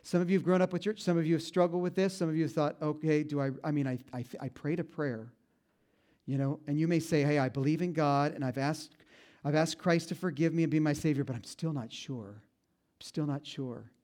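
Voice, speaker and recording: Pastor guy preaching casual style, kinda high voice, self-deprecating jokes, gets slow and quiet on the big points. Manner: folksy, self-deprecating humor with frequent restarts; slows down and drops low for emphasis on key points. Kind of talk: conversational sermon.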